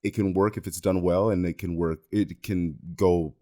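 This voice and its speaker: Deep-voiced man talking analytically. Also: steady tone, normal speed, mellow. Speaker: podcast co-host. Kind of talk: casual analytical discussion.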